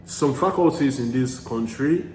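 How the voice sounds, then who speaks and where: A man in the middle of his usual pitch range talks straight to camera, giving earnest, emphatic advice. He repeats key phrases to drive them home and often restarts his sentences. The room has a noticeable echo and a faint hum of noise behind him. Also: measured pace, darkish tone. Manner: frequent restarts and fillers, earnest. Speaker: study-abroad advice vlogger. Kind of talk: casual advice talk to camera.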